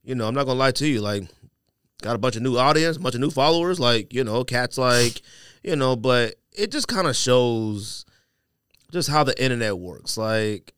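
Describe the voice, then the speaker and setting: A man's voice: mid-range voice, moderate pace, slight background noise. A man hosting a podcast in a casual, slangy way, telling stories and acting out bits of dialogue. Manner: slangy, animated storytelling, acts out dialogue. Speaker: podcast host.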